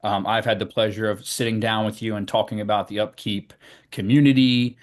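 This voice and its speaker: A man's voice: on the low side, steady tone, brisk. A man hosting a company podcast, upbeat and encouraging, often repeating words as he introduces and prompts his guest. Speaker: podcast host. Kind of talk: podcast interview.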